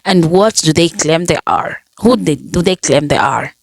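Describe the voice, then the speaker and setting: A woman's voice: low voice, normal speed, vocal fry, crowd noise. A woman hosting a reaction video, chatting casually, thinking aloud and laughing often.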